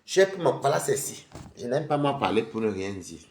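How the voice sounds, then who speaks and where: A man's voice: medium pitch, sing-song, a little fast, roomy sound, a little hiss or hum. A man with a head cold, chatting casually with viewers on a live stream from home while he eats. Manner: chatty and rambling, addressing viewers directly.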